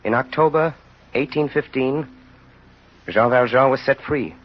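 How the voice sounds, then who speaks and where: An actor as the grave narrator of a tragic tale, solemn and measured in a dramatic, rhetorical radio style. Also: male voice, mid-range voice, natural voice. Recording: noisy, dull old radio recording.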